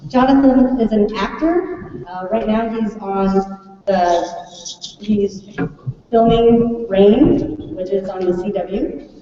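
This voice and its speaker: A woman's voice: mid-range voice, slow, pauses often, warm tone. Echoey, distant American woman addressing an audience. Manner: chatty, prompts children and audience with questions. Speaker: third grade teacher presenting a class project. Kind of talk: informal welcome speech to an audience. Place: large echoey room at a school event. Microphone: distant microphone. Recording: echoey hall, distant mic, muffled, faint noise.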